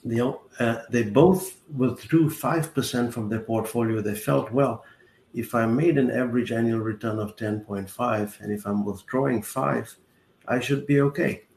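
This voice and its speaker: A man in his sixties, a retirement adviser guest explaining finances in a measured, methodical way over a video call. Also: mid-range voice, gravelly, darkish tone. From South Africa. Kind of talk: explanatory interview answers. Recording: roomy call audio with faint hiss.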